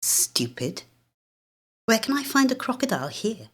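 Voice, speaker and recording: Woman voicing a bossy young girl, pitched up high. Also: big pitch swings, a little slow, a bit bright, husky, heavily acted. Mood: scornful, bossy. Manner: self-important, emphatic. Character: bossy, scornful elder sister, young girl.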